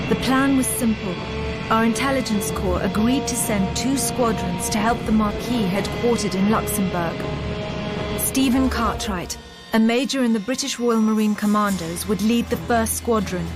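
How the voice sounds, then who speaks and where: Female officer narrator acted by a woman, sober game cutscene voice-over, mid pitch, music underneath. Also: lightly acted. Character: sober female military officer recounting a war. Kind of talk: scripted narration for a video game.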